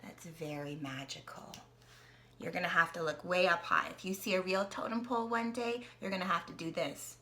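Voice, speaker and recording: A young woman reads an alphabet picture book aloud to her niece, playful and animated, often dropping to a whisper. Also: on the low side, normal speed. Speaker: aunt reading to her niece.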